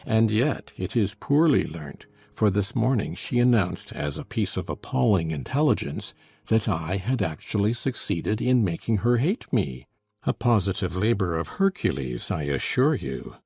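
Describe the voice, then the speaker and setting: An actor playing a scornful, cruel, brooding husband in a dramatized gothic novel, speaking with deliberate, sardonic contempt. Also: male voice, lowish voice, sing-song, gravelly, darkish tone, husky, no echo, clean studio sound, full character voice. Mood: cold contempt. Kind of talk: dramatized audiobook acting.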